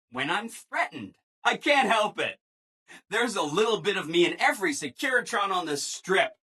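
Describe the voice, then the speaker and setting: An actor playing a relentlessly cheerful, eager-to-please robot assistant in a video game, with a chipper, ingratiating, singsong delivery. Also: male voice, sits high, pushed and bright, slightly rough, heavily acted. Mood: apologetic cheer. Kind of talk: video game character dialogue.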